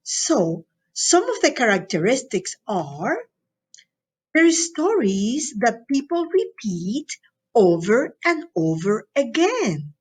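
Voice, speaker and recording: A woman's voice: fairly high pitch, sing-song, slow, breathy edge. A woman from Latin America teaching a recorded lesson to students in an encouraging, instructional way, often checking in with them.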